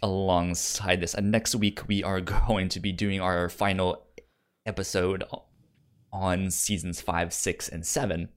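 Low-voiced man with a stuttering, halting delivery. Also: flat delivery. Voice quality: frequent stutter on repeated syllables. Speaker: podcast co-host. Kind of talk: casual debate chat about movies.

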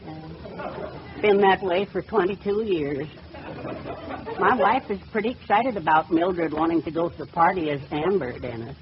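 Timid middle-aged dad, 1940s radio sitcom. Dry, mild, hesitant, dull and noisy vintage recording. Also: male voice, sits high, measured pace, pauses often, crowd noise. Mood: mild, curious. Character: timid, henpecked, wistful middle-aged husband and father. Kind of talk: 1940s radio comedy acting. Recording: muffled old radio, heavy hiss.

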